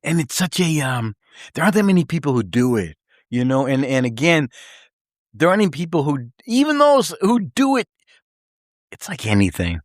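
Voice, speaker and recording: An American man interviews a guest in a loose, bantering way. His voice sits a little high and swings widely in pitch as he throws out slangy exclamations. The studio sound is dry and close. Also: sing-song, moderate pace, no echo. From Boston, USA. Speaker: radio interviewer and host. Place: radio studio.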